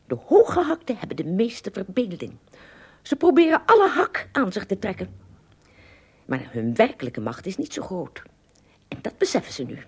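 Husky, animated little king. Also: medium pitch, normal speed, exaggerated voice. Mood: confiding, proud. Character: tiny king of Lilliput, proud, confiding, earnest. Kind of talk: Dutch radio play acting.

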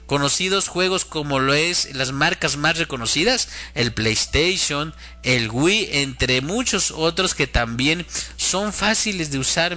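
A man's voice: fairly high pitch, sharp tone. A man hosting a religious program, preaching in an earnest, admonishing way and addressing listeners directly as a spiritual teacher.

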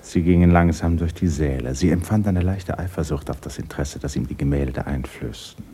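An actor as the composed, observant literary narrator of a radio play, measured and detached in manner. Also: male voice, very deep voice, warm tone, husky.

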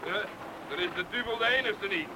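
An actor playing a gruff, decisive lifeboat skipper who barks blunt orders in a radio play. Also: male voice, high voice, brisk, natural voice. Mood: blunt banter. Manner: commanding, blunt, barking orders. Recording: noisy outdoor storm sound effects.

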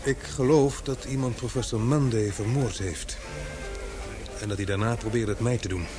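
An actor playing a courteous, inquisitive man in an old-fashioned radio play, speaking in a measured, gently probing way. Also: medium pitch, music in the background, lightly acted. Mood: grave. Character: courteous, inquisitive man caught up in murder intrigue.

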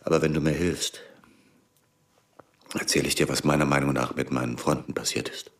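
An actor in a German radio drama playing a haunted, determined man with a gravelly voice and a terse, measured way of bargaining. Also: very deep voice, steady tone. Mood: grave, insistent.

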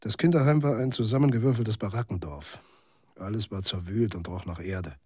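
Deep, weary cynical journalist. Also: male voice, fairly quick, darkish tone, slightly rough, no echo. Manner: laconic, literary first-person storytelling. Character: weary, cynical male journalist in a bleak near-future city. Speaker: narrator of a radio monologue. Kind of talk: scripted radio drama monologue.

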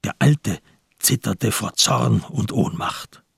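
A man with a husky, gravelly voice narrating a radio crime drama in a dramatic, scripted style. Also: on the low side, lively intonation, slow, no room sound, studio quality.

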